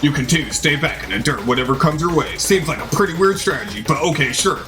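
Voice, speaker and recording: A man's voice: on the high side, expressive, fast, over music. A man reading out a dating simulator as dramatized, theatrical game narration.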